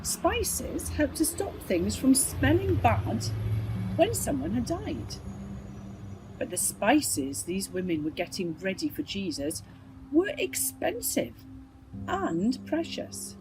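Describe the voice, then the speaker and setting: A woman's voice: a little high, very animated, slow pace, over music, low-quality recording. A woman reading a children's Bible story from a script. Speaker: storybook reader.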